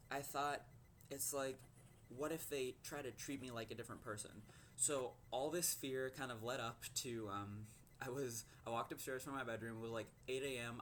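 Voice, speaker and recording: Teen boy, fifteen, low voice, halting personal story over faint hiss. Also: fairly bright tone. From Minnesota. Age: teens. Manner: hesitant, many ums and likes. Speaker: teen vlogger.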